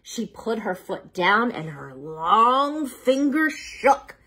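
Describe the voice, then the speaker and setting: Woman reading bedtime stories, very animated delivery. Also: a little high, slow pace, husky. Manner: playful, dramatic emphasis, rhetorical questions to the listener. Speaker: children's storyteller reading picture books aloud. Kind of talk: expressive bedtime read-aloud with asides to young children.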